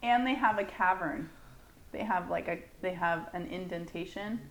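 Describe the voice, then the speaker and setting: A woman's voice: lowish voice, normal speed, rough recording. Teasing woman in casual banter. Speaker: podcast co-host.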